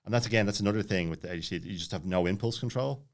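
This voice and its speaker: A man in his late thirties with a lowish voice. He talks rapidly and casually, like a podcast guest telling his own story, often looping back and restarting his sentences. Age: late 30s.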